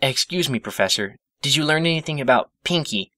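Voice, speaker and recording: A man's voice: medium pitch, animated, fairly quick, bright, forceful tone, dry recording, studio quality, voice pushed up. A man voicing an earnest, polite, studious young female cartoon pony who reasons carefully. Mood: exasperated.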